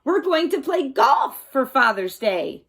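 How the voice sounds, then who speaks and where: A woman playing an eager, clumsy young boy frog in a storybook, excitable and full of exclamations. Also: sits high, measured pace, exaggerated voice. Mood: excited.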